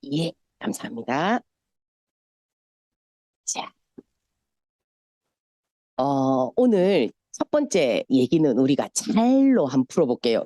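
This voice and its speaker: A woman's voice: very deep voice, warm tone, no room sound, studio quality. A middle-aged woman from Busan with a Gyeongsang accent, giving a chatty motivational seminar talk and telling stories. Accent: Gyeongsang Korean. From Busan, South Korea. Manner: chatty, storytelling, sometimes mimics voices. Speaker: speaker at a business seminar.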